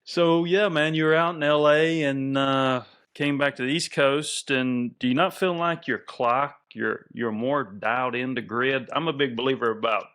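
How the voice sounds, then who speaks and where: Bright-voiced rambling male podcast host. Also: mid-range voice, moderate pace, smooth. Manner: loose, rambling questions with restarts. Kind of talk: casual interview chat.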